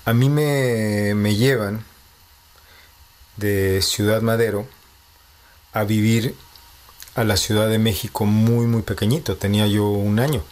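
A man in his thirties with a Mexico City accent speaks in a low, level voice that hardly rises or falls. He takes his time, weighing each phrase and thinking aloud as he answers an interviewer. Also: steady tone, a little mellow. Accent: Mexico City Spanish. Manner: measured, reflective, thinking aloud. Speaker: composer and postdoctoral researcher.

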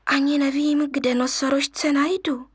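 A small boy lion cub, high and eager, as a woman voices him, wheedling to get his way. A storybook youngster with a pleading, bouncy manner. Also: little pitch movement, dry recording, clean studio sound, lightly acted. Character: little male lion cub, eager future king.

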